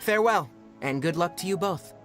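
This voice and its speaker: An actor playing a calm, courteous young male forest ranger in a video game. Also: sits high, fairly quick, music in the background. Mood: calm, polite.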